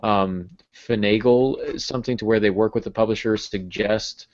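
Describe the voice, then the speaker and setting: A man's voice: on the low side, steady tone, moderate pace. An American man in his thirties casually interviewing as a podcast host over a video call. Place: home room with bookshelves. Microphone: video call with earbuds. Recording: dull video-call audio.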